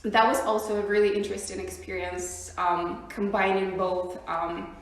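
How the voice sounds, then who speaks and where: A woman's voice: medium pitch, little pitch movement, moderate pace. Woman, young, Russian accent, hesitant, many ums, echoey room. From Siberia, Russia. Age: young adult. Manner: hesitant, many ums and likes, laughs often. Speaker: guest. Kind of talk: casual interview chat.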